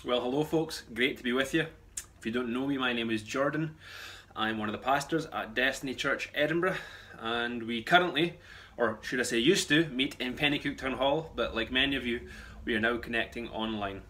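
A man's voice: mid-range voice, fairly quick, bright, forceful tone. A man in his thirties, a pastor giving an earnest, explanatory sermon in a conversational style. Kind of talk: sermon, conversational address to camera. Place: small bright room at home. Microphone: phone a short distance away.

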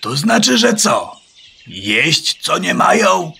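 Creaky, pompous barnyard bird. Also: male voice, a little high, huge pitch swings, slow, noisy background, exaggerated voice. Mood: startled. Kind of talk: children's audio play acting.

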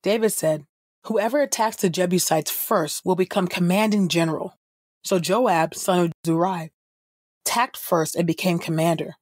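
Female reader for audio Bible: lowish, husky voice, measured delivery, dry close sound. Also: darkish tone, dry recording. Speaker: podcast host, scripture reader. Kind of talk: scripted audio Bible reading.

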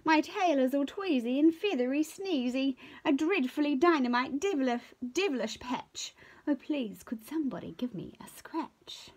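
A fretful little storybook possum fellow, put on by a woman. He exclaims theatrically and pleads about his itch in a high, animated voice. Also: normal speed. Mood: fretful, pleading. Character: fretful male possum, desperately itchy and pleading.

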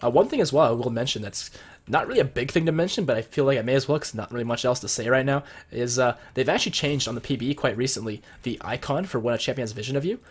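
A young man with a cold, sounding a bit husky and deeper, casually explaining strategy as he plays and comments on a video game at his computer. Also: fast. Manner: chatty, explains strategy while playing. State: sick with a cold. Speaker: gameplay commentator. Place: home room at his computer.

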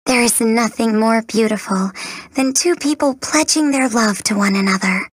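A woman playing a gentle, romantic young bride princess in a video game, with an earnest, dreamy manner. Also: on the high side, moderate pace, husky, no echo. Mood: tender. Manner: earnest, sweet, wistful. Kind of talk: video game character acting.

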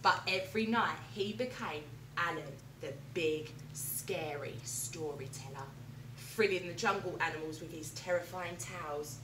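A young woman teacher reading a picture book aloud with lively, expressive storytelling, in a classroom reading corner recorded from a distance. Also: on the low side, measured pace, noisy background. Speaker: primary school teacher. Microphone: camera at a distance. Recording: echoey room, mic far off.

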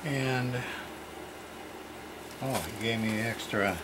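Slow-talking middle-aged man muttering, noisy recording. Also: medium pitch, steady tone. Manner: muttering to himself in short fragments. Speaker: hobbyist unboxing radio parts. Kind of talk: casual unboxing chat. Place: cluttered room at home, indoors. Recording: clearly noisy room recording.

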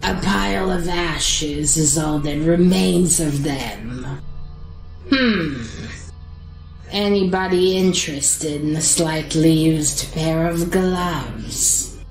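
A woman's voice: low voice, slow pace. A woman narrating a horror story in a dry, wry way. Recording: echoey with loud music.

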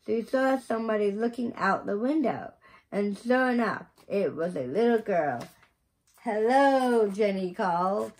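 A middle-aged woman reading a children's picture book aloud in a careful, deliberate manner. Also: medium pitch, a little mellow, poor audio. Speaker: storybook reader. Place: small indoor bedroom.

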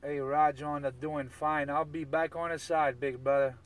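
A man's voice: fairly high pitch, level tone, moderate pace, heavy background noise. A man talking casually in CB radio slang, repeating catchphrases as he operates and records. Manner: repetitive, chant-like catchphrases.

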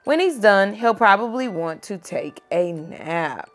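A young woman reading a picture book aloud to a child at home, gentle and expressive. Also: mid-range voice, a little slow. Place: home bedroom, indoors.

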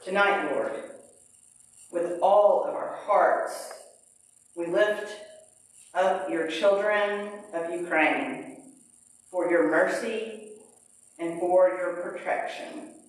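Woman pastor, middle-aged, slow solemn prayer, very echoey church, heard from afar. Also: fairly low pitch. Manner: slow, measured liturgical delivery. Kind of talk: pastoral prayer and scripture reading. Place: large church sanctuary. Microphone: pulpit mic, far camera. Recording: very echoey sanctuary, distant camera.

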